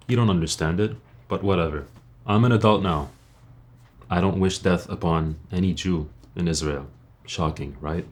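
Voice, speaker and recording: A young man from Lebanon with a very low-pitched voice delivers an earnest monologue straight to his audience. He talks bluntly and informally, as an online creator would, and leans on rhetorical questions. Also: a little mellow. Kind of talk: earnest personal monologue to camera.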